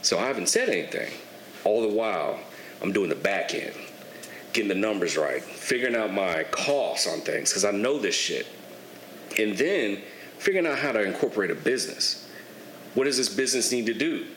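Laid-back young man, low and roomy. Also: animated, moderate pace, fairly bright tone. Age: 20s. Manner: laid-back, candid storytelling with casual swearing. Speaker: guest, barber and clothing entrepreneur. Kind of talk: casual podcast conversation. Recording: echoey room with faint noise.

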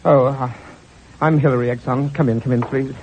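An actor playing a fussy, weary, irritable rich heir in a 1940s radio drama, querulous and agitated. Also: male voice, medium pitch, fairly even intonation, a little fast, a little mellow, slight background noise, close to an everyday voice. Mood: flustered. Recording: dull old radio recording.

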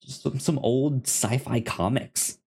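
A man's voice: medium pitch, slow pace, gravelly, darkish tone, husky. A man co-hosting a film podcast, talking casually and off the cuff, stammering and repeating the starts of words. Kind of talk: casual, unscripted recommendations.

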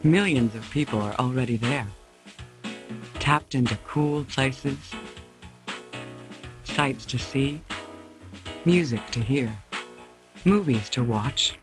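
A man's voice: mid-range voice, slow pace, long pauses. A man reading a scripted commercial voice-over in short, punchy lines. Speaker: ad announcer. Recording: archival ad with music bed. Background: guitar music.

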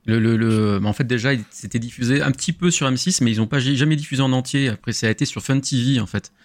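A man explaining things casually as a guest, halting and repeating words as he goes. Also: mid-range voice.

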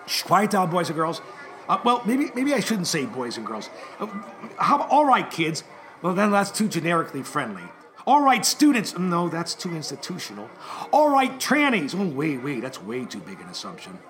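Man acting a fussy, animated first-grade teacher. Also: fairly high pitch, normal speed. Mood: flustered, self-correcting. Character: fussy, flustered first-grade teacher addressing young children. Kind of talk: theatrical comic monologue.

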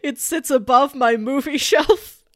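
A woman's voice: high-pitched, unhurried, dry recording, studio quality. A woman co-hosting a podcast, chatting casually about comics and films. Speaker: podcast co-host.

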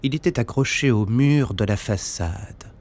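A man reading a scripted children's story as an expressive narrator. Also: mid-range voice, unhurried.

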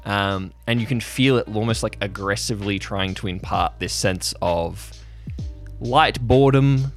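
Low-pitched man narrating as game master. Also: faint music behind. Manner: halting, descriptive, addresses players as "you". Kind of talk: improvised descriptive storytelling.

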